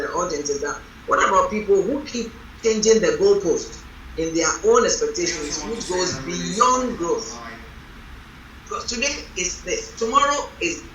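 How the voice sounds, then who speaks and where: A woman reverend leads a panel talk with a loud, strong voice and a bright edge. She tells her stories emphatically. The mic sits far from her in a roomy hall with faint background noise. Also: mid-range voice. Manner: emphatic, storytelling. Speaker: reverend leading a panel on marriage. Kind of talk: panel discussion.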